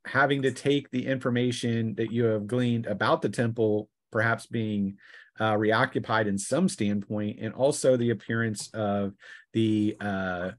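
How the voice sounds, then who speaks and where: A man working as game master, narrating a tabletop fantasy session in a halting way, thinking aloud as he goes. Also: lowish voice, dry recording.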